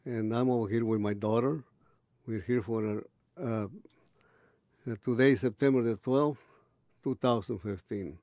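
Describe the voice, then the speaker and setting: A man in his eighties recalling his early life in an oral history interview, halting and restarting as he searches for words. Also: medium pitch, steady tone, dark tone, dry recording, clean studio sound. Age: 80s. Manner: halting, many restarts and pauses.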